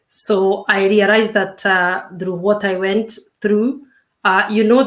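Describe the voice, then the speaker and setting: A woman in her fifties, a coach, speaking as an interview guest in a measured, reflective way with frequent pauses and restarts. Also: mid-range voice. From Kenya. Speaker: guest, self-discovery coach. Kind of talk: casual interview chat.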